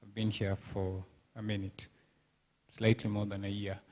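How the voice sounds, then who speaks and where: A man's voice: fairly low pitch, flat delivery, measured pace, darkish tone. A man from Kenya in the audience, asking a thoughtful question and searching for his words. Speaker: audience member.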